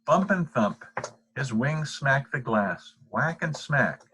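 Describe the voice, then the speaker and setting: An older man with a husky voice reading a children's bedtime story aloud. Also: mid-range voice, expressive, slow, background noise. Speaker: storybook reader.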